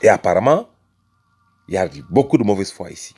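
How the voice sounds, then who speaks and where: A man's voice: lowish voice, expressive, a little fast, darkish tone, breathy edge, soft background music. A man from Senegal arguing a religious point with emphatic rhetoric, repeating phrases and calling the listener brother. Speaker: religious speaker. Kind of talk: impassioned religious argument.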